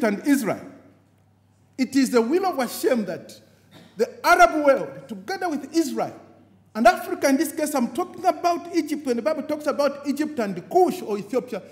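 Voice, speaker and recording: A man from Zambia giving an impassioned speech at a convention, with a preaching cadence that rises on key words and drops low at the end of each phrase. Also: a little mellow. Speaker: guest speaker at a party convention. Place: large convention hall. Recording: long hall reverb from a convention venue.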